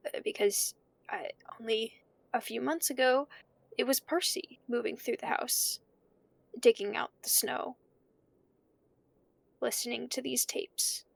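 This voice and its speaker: A troubled young woman speaks in a fairly high voice full of creak. She broods haltingly and slowly, as in a confessional audio drama, recorded close and dry. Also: fairly even intonation, dry recording. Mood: uneasy, reflective. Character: troubled young woman haunted by dead relative.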